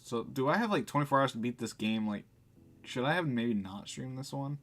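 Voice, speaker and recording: A man's voice: medium pitch, expressive, brisk. A man doing casual live let's play commentary, chatting informally and reading game text aloud as he reacts. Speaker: game streamer.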